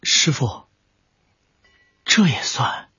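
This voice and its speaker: A man's voice: drawn-out words, highs cut off, very noisy. An actor playing a young male martial arts disciple, earnest, questioning and puzzled, in a Chinese radio drama. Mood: earnest, unsure.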